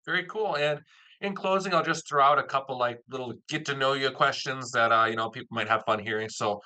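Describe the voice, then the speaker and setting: Male host for an informal chat, medium pitch with a very forceful, bright edge. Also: a little fast, rough recording. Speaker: interviewer. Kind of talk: casual interview chat.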